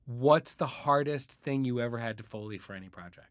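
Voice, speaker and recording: A man's voice: medium pitch, brisk, no echo, clean studio sound. A man hosting a casual podcast interview, informal and joking in the way he talks.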